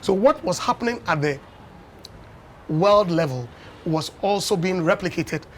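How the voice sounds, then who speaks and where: A Ghanaian man in his fifties, a former dance champion, tells unhurried stories as a guest on a breakfast TV interview, often adding "you know.". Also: high voice, animated, slight background noise. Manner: anecdotal storyteller, unhurried with many "you know"s. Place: television studio.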